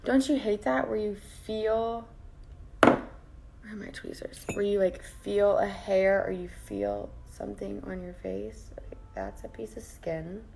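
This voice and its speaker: A woman with a raspy voice, chatting casually with her viewers while she does her makeup at her vanity at home. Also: medium pitch, lively intonation, a little slow, a little mellow, slight background noise. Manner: chatty, greets viewers by name and rambles while working. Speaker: makeup vlogger. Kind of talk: casual live-streamed makeup tutorial. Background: faint background music.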